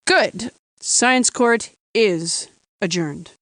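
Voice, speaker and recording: A woman's voice: mid-range voice, animated, drawn-out words, a bit bright, no echo, heavily acted. A woman playing a wry, weary cartoon judge with a clipped, commanding manner. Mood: weary patience.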